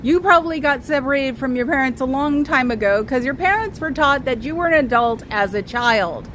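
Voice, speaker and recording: A woman's voice: a little high, a bit bright. Chatty woman losing her voice outdoors. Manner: chatty, digressive, calls out to passers-by. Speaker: livestreamer. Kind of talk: rambling live-stream chat. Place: outdoors on a street in San Francisco. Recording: outdoor street recording with traffic noise.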